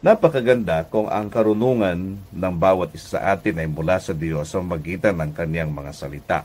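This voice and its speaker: A Filipino man preaching a devotional radio message as pastor and host, deliberate and emphatic, often checking in with listeners. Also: deep voice, darkish tone. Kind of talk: devotional radio sermon in conversational teaching tone.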